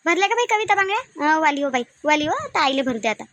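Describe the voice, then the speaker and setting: Woman acting a high-pitched, chatty Varhadi village woman. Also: big pitch swings, quick pace, fairly bright tone, no room sound. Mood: chatty, bossy. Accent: Varhadi Marathi of Vidarbha. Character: chatty, bossy village women at a wedding ritual. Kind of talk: cartoon comedy dialogue.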